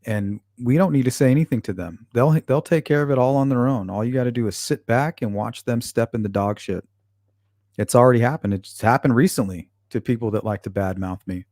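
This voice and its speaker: A man's voice: medium pitch, fairly quick, darkish tone, no echo, studio quality. A man hosting a livestream, reading viewer comments aloud and answering them bluntly, with frequent swearing. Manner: blunt and profane, talks back to chat. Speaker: channel host reading live chat. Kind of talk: casual livestream chat.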